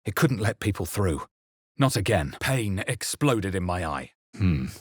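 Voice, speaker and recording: Husky, low-voiced brooding fantasy hero. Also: male voice, very animated, measured pace, no echo, clean studio sound, exaggerated voice. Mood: grave, intent. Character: brooding, battle-hardened male adventurer hero of a fantasy game. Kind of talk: dramatic fantasy game voice lines and first-person narration.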